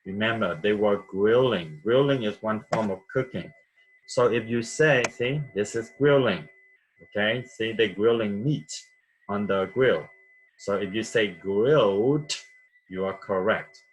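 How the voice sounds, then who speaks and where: A man's voice: lowish voice, unhurried, slight background noise, low-quality recording. A man from Vietnam teaching vocabulary patiently, repeating words and checking with "okay?". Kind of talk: classroom vocabulary lesson.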